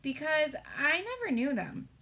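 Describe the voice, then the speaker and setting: A woman's voice: sits high, big pitch swings, measured pace, sharp tone, pitched above the performer's own voice, exaggerated voice. A woman voicing a plucky, plain-spoken orphan girl in a children's storybook, frank and stoical. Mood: matter-of-fact.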